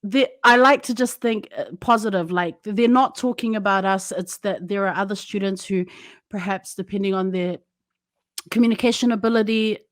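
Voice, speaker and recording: A woman hosting a podcast, speaking reflectively and at a measured pace with frequent fillers. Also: medium pitch. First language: Samoan speaker alongside English. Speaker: podcast host. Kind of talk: casual interview talk.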